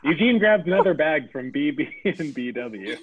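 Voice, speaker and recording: Male narrator for a table read, lively husky delivery, tinny phone-quality sound. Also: on the high side, normal speed. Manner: amused, laughs between directions. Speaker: table read narrator reading stage directions. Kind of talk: reading a comedy script aloud.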